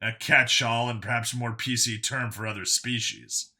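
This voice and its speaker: A man plays a tough enforcer in a story, speaking at a middle pitch with a very bright, forceful edge. He explains himself earnestly and bluntly. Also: fairly even intonation, moderate pace. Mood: lecturing. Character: Vincent, earnest tough human enforcer, reformed bigot.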